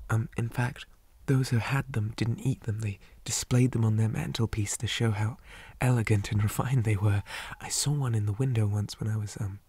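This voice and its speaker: A man playing a shy, old-fashioned zombie boy on a date in an ASMR roleplay, speaking softly and breathily, often near a whisper, with hesitant stammers and formal wording. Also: mid-range voice, breathy edge, no room sound. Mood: timid wonder. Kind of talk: ASMR roleplay, soft half-whispered talk.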